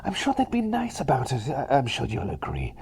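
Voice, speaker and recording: A man playing a cheery, self-doubting plague doctor in an ASMR roleplay, whispering breathily and softly, with hesitant, repetitive rambling that seeks approval. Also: medium pitch, wildly swooping pitch, a little fast, background noise. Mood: eager, playful. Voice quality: breathy whisper mixed with soft voice. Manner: hesitant, rambling, repeats phrases, eager for approval.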